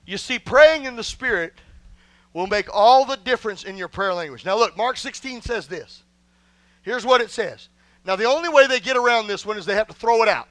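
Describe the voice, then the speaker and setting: A man with a drawl preaches from the pulpit in a strikingly high, bright voice. He is emphatic and folksy, swinging from quiet asides up to shouted exclamations. The sound is smooth and close, with almost no room around it. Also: no echo, low-quality recording. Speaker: pastor. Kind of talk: sermon. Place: church sanctuary.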